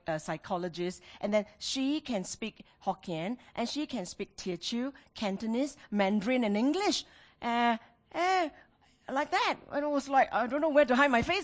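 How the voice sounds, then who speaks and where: A middle-aged woman preaching a sermon as a pastor, telling personal anecdotes and emphatically repeating phrases to her listeners. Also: a little high.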